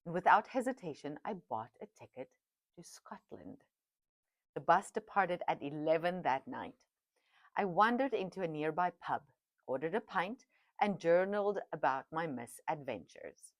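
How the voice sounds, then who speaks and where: A woman's voice: sits low, expressive, moderate pace, no room sound. A middle-aged woman, an Afrikaans speaker, reading her own memoir aloud at home with reflective, chatty asides. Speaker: podcast host and author. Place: home dining room.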